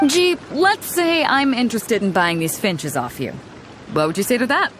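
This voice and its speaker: A woman's voice: fairly high pitch, very animated, moderate pace, fairly bright tone, slight background noise. A bold, breezy female captain. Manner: breezy, teasing, commanding. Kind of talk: game voice acting. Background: game music.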